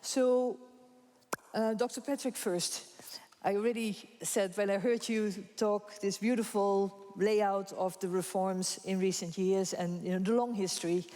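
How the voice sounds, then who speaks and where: A woman's voice: mid-range voice, fairly even intonation, measured pace, warm tone. A woman moderating a conference panel, chatty and informal, laughing easily. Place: conference room with audience. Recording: reverberant conference hall.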